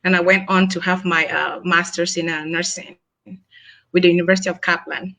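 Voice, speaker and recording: A woman's voice: fairly low pitch, little pitch movement, a little fast, gravelly, a bit bright. A woman from Cameroon, a nurse practitioner, introducing herself on a panel earnestly, with many fillers.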